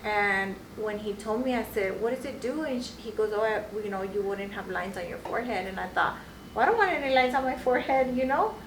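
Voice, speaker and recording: A woman's voice: medium pitch, a little fast. Chatty woman telling a story in an echoey room. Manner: storytelling, acting out quoted voices, many likes. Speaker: guest nurse injector. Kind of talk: casual podcast chat. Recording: echoey room, mic at a distance, faint noise.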